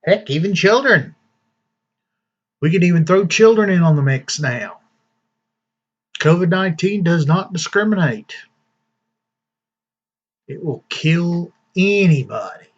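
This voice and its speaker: A man hosting his own channel, giving casual news commentary in a slow, deliberate way with fillers and long pauses. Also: high voice, animated, lo-fi sound. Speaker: vlog host.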